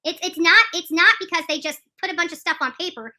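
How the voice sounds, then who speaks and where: A woman giving live, opinionated legal commentary, emphatic and halting, repeating phrases for stress and often restarting her sentences. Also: very high voice, steady tone, pushed and bright. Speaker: streamer commenting on court news.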